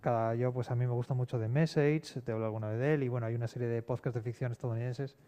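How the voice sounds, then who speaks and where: A man's voice: mid-range voice, steady tone, quick pace, warm tone. A man with an accent from Spain speaking as a scriptwriter guest in an unscripted panel discussion, informal and often correcting himself. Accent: Spanish (Spain).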